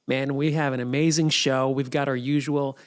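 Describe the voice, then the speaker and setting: A man in his forties hosting a podcast, chatting with panel guests in a quick, easygoing and friendly way. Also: mid-range voice, level tone. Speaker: podcast host. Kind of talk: casual panel chat.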